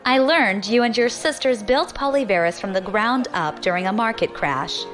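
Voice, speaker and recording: A woman's voice: mid-range voice, normal speed, over music, natural voice. A woman playing a bold, ambitious, defiant young opportunist in an audio drama, assertive and challenging. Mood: cool, determined.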